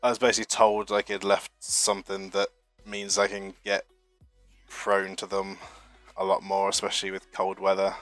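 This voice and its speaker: Man, young, from the UK, just over a flu, rambling self-deprecating stream chat, low flat voice. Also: moderate pace, faint music behind. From United Kingdom. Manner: chatty and rambling, self-deprecating, with frequent fillers. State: getting over a flu, a bit sniffly. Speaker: streamer hosting a marble racing channel. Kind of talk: casual live-stream chat reading chat messages.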